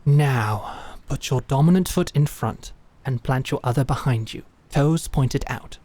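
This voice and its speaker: A woman voicing a stern, teasing female knight who teaches swordsmanship, with a dry, measured manner. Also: extremely low pitch, warm tone. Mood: instructive. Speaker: sword instructor. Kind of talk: fantasy audio drama acting.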